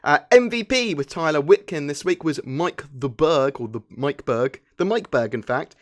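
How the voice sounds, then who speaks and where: A man's voice: a little high, lively intonation, normal speed. A British man hosting an informal gaming news show, talking in a chatty, enthusiastic way. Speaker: host of a gaming news channel. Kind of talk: informal scripted news commentary.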